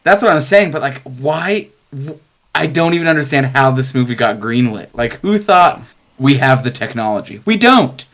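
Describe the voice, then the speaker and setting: A man's voice: medium pitch, lively intonation, moderate pace, a bit bright. Opinionated man chatting on a film podcast. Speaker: podcast co-host. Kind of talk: casual movie discussion.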